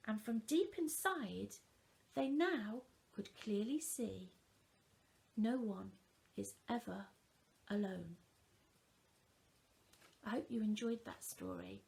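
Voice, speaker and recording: A woman reading a children's story aloud in a deliberate, expressive storytelling manner. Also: fairly high pitch, vocal fry.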